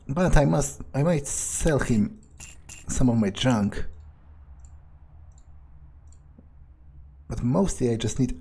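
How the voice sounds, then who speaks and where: A man voicing an arrogant, cruel, brooding vampire in a video game roleplay, speaking haltingly with haughty menace. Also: medium pitch, dark tone, husky. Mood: musing. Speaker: role-playing game streamer. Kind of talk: in-character game playthrough monologue.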